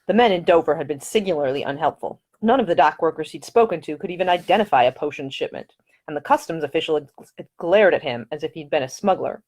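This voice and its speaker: A woman narrating a story as an author reading their own novel, in a dramatic, expressive audiobook style. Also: sits low, fast.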